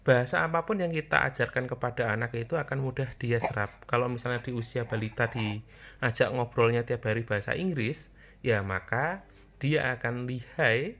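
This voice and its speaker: A man in his thirties with a Javanese accent gives a casual parenting talk to camera. His voice sits in an ordinary middle pitch, and he talks in a chatty, repeating way, turning often to parents as listeners. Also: normal speed, gravelly, a bit bright, a little hiss or hum. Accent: Javanese-accented Indonesian. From Java. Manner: chatty, repeats points, addresses parents directly. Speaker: parenting channel host.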